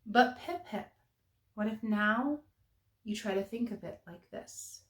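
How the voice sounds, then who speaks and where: A woman voicing a gentle, reassuring mother penguin in a storybook read-aloud. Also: medium pitch, takes it slowly, reverberant, close to an everyday voice. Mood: soothing. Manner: soothing, coaxing.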